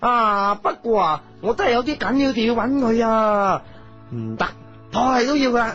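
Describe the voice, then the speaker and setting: A woman's voice: a little high, big pitch swings, moderate pace, a bit bright. A woman voicing a fussy, rambling old wizard king with a Hong Kong Cantonese accent in a radio comedy drama. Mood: insistent, weary. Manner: rambling, hesitant, exclamatory. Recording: slightly dull audio over music.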